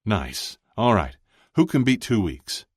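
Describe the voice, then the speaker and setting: A man voicing a big, jovial man with a hearty, commanding, booming voice in an audiobook. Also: big pitch swings, slow, slightly rough, dry recording, studio quality, full character voice. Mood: hearty, bossy.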